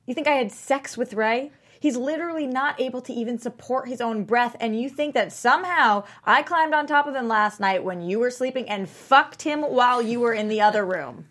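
An actress at a table read playing an exasperated, defensive wife, with naturalistic, sarcastic delivery. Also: female voice, fairly high pitch, normal speed, hardly any pauses, a bit bright. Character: Frankie, exasperated, defensive woman in a strained marriage.

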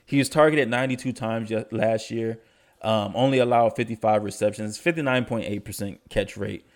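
A man's voice: fairly low pitch, fairly even intonation, moderate pace. A young man hosting a casual sports podcast, stuffy from allergies, talking in a laid-back, slangy way. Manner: laid-back, slangy, enthusiastic about stats. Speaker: podcast host.

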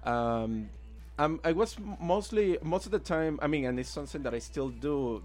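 A man in his thirties with a Venezuelan accent, a native Spanish speaker, casually telling his own story as an interview guest. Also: mid-range voice. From Caracas, Venezuela. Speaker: interview guest, illustrator and art teacher.

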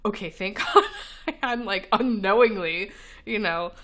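A woman's voice: mid-range voice, a little slow, fairly bright tone. A woman co-hosting a casual podcast chat, laughing often and talking with lots of fillers, a little congested as she recovers from being sick. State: slightly congested, recovering from illness. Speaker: podcast co-host.

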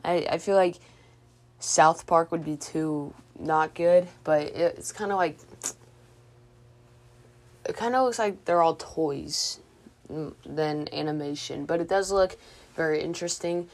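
Low-voiced woman, casual podcast host. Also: unhurried. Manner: hesitant, informal, thinking aloud. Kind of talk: casual movie news chat.

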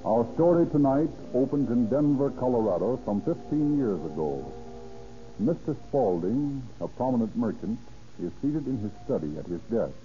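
A man's voice: medium pitch, expressive, moderate pace, warm tone, faint music behind. An American man announcing in a formal, emphatic 1930s radio style, selling and narrating from a script. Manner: formal, emphatic salesman-like delivery. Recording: dull old radio recording.

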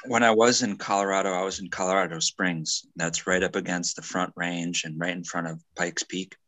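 A man's voice: low-pitched, fairly even intonation, normal speed, sharp tone. A man from Wisconsin co-hosting a podcast, chatting casually in a wry, self-mocking way. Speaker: podcast co-host. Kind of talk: casual chat.

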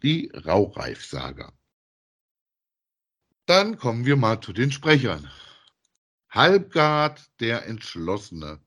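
A man co-hosts a casual podcast. He talks at a slow pace in a mid-range pitch. His delivery is halting and deliberate. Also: a bit bright. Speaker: podcast co-host.

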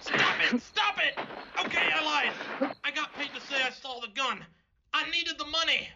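A man's voice: very high voice, normal speed. An actor playing a sneering, crooked male witness in an old-time radio drama, with a sarcastic manner. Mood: desperate. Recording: dull, very noisy home recording.

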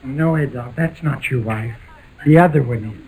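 An actor playing an earnest, bumbling visitor in 1940s radio comedy, speaking in an emphatic, paternal way. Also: male voice, medium pitch, moderate pace, close to an everyday voice. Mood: solemn, urging. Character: earnest, bumbling male visitor breaking news solemnly. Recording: old radio recording, faint noise.

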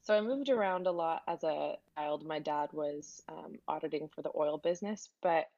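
A woman's voice: deep voice, normal speed, vocal fry, a little mellow, dull audio. A young woman from Texas, a medical resident, answering interview questions in a friendly way with frequent laughs and fillers. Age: young adult. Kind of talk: casual interview.

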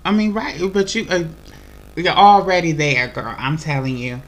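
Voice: medium pitch, expressive, normal speed. A podcast host in a casual interview chat, talkative and encouraging, often repeating the same phrases. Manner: chatty, encouraging, repeats itself.

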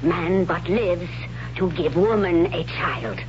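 Stern, imperious high priestess. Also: female voice, takes it slowly. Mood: solemn, commanding. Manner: solemn, commanding, formal, declamatory. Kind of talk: old-time radio drama acting. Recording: muffled, noisy old radio broadcast with hum.

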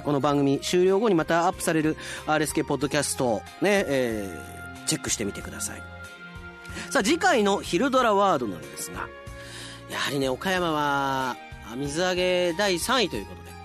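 Chatty Japanese man hosting radio, lively pitch. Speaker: radio announcer and drama show host. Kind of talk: casual host commentary and reading listener mail. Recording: music bed under the voice.

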